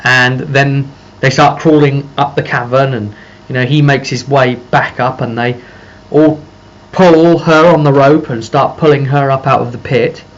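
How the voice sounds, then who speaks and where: A man's voice: mid-range voice, steady tone, measured pace. A man speaking casually and unscripted as a game master recounting a tabletop session, rambling as he pieces the story together. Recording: dull lo-fi microphone with faint noise.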